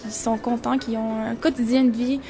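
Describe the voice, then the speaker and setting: Young woman photographer from Venezuela, 20s, higher voice, reflective, music underneath. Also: level tone, normal speed, dark tone. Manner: reflective, searching for words. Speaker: photographer and journalism student. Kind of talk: interview about her photo exhibition.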